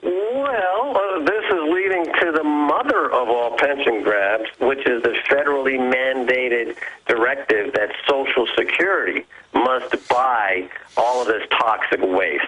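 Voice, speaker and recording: Guy on the radio breaking down pension funds, lots of ums and uhs, bright edgy voice. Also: mid-range voice, a little slow, hardly any pauses, husky, rough recording. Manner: hesitant, many fillers and restarts, then fluent. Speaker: finance commentator guest. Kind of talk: explanatory interview talk.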